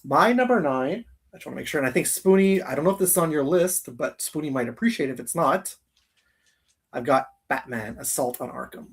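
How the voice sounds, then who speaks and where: A man with a husky, slightly rough voice chats casually as co-host of a geek show. He talks hesitantly, often circling back and repeating his point. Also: fairly high pitch, expressive, brisk. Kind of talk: casual chat.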